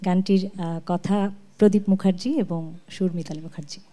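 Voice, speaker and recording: A woman's voice: lowish voice, fairly even intonation, normal speed, over music. A woman, a singer answering as a studio guest in a modest, slightly hesitant conversational way. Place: television studio.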